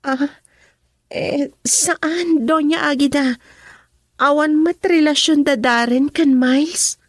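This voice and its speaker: High-voiced anxious young Filipino woman. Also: slow, no room sound, studio quality. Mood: upset, questioning. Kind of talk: radio drama acting.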